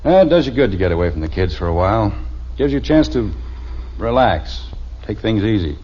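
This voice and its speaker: An actor playing a grumbling but soft-hearted father in an old-time radio comedy, with a dry, hesitant delivery. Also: on the low side, big pitch swings, fairly quick. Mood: restless, wistful. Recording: muffled, noisy old radio recording.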